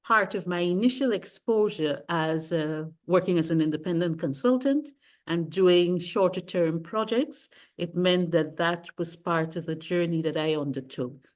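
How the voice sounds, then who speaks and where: Measured, fairly low-voiced Guyanese-born woman. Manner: measured, careful, rephrases mid-sentence. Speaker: guest, sustainability consultant. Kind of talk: interview, long career account.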